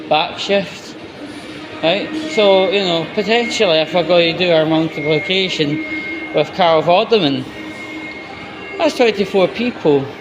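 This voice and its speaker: A man with a Scottish accent giving a blunt, sweary, casual vlog monologue while out walking. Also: high-pitched. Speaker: video blogger, host. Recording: noisy outdoor walking recording, constant background noise.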